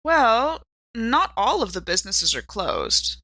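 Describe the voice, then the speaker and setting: A woman playing a tabletop game, improvising conversationally with emphatic, halting phrasing. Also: fairly high pitch, wildly swooping pitch, pushed and bright, dry recording. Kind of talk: casual improvised in-character conversation.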